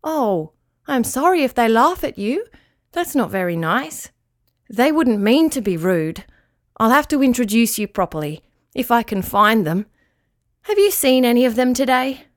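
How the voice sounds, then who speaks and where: A woman voicing a friendly old male dog in a children's story, speaking gently, politely and reassuringly. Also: on the high side, big pitch swings, a little slow. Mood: kind.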